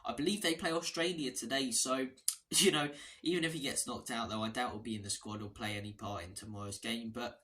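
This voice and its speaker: A hoarse man talks through a football preview in a loose, chatty monologue. His voice sounds bright and forceful. He wanders off topic and restarts phrases as he goes. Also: medium pitch, brisk. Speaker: fan channel host.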